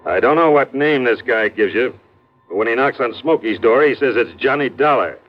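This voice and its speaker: A man acts out a rough underworld henchman from classic radio. He talks in surly, uneducated tough-guy slang. The recording is muffled and dated. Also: medium pitch, normal speed, close to an everyday voice. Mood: surly, accusing. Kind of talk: 1940s-50s radio crime acting.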